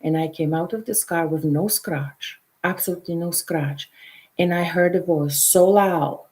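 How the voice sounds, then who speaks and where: A middle-aged woman with a Polish accent guides listeners through a calm meditation and shares her own story. Her voice sits fairly low and her pace is very slow and unhurried. She speaks softly and at times sinks into a whisper. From Poland. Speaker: intuitive counselor and show host.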